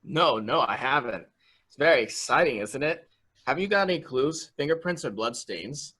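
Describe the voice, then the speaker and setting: Guy playing an eager childlike young man in a murder mystery, sharp voice, naive and nosy. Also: mid-range voice, lively intonation, moderate pace, husky. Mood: excited, curious. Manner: eager, naive, question after question. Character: childlike, excitable, morbidly curious gentle young man. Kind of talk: dramatic reading of a murder mystery.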